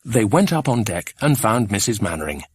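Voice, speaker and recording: A man narrating a children's adventure audiobook in a scripted storytelling style, with a husky voice. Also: lowish voice, big pitch swings, moderate pace.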